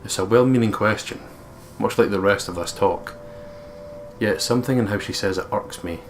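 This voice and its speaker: A Scottish man with a fairly low voice co-hosts a let's play, reading narration aloud and commenting casually. There is slight noise behind him. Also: moderate pace.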